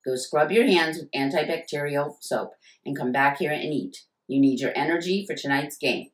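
A woman's voice: deep voice, normal speed, close to an everyday voice. A woman voicing a stern, strict middle-aged father in a storybook reading. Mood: firm. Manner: firm, commanding. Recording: roomy echo.

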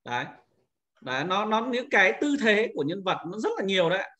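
A man's voice: sits high, big pitch swings, fairly quick, bright, forceful tone. A man narrating an informal step-by-step screen-share tutorial as an instructor, explaining and often adding short confirming tags.

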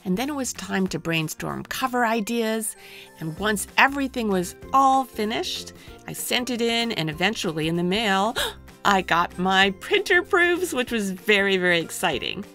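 Woman, fifties, children's book presenter, expressive storyteller, very varied intonation, faint music behind. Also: medium pitch, moderate pace. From Canada. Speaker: children's author and illustrator. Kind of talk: picture book reading and presentation to camera.

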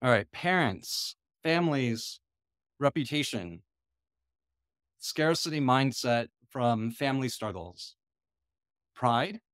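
Measured, thoughtful man lecturing on a video call. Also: mid-range voice, animated, a bit bright, no room sound, clean studio sound. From United States. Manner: measured, thoughtful, with frequent ums and restarts. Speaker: conference lecturer. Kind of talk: online lecture leading a discussion. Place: small indoor room. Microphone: video call webcam.

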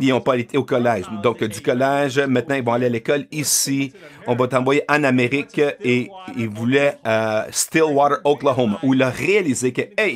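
A man with an ordinary middle-pitched voice interprets a keynote speech live over a video call. He talks hesitantly, stopping often on filler sounds and restarting his phrases.

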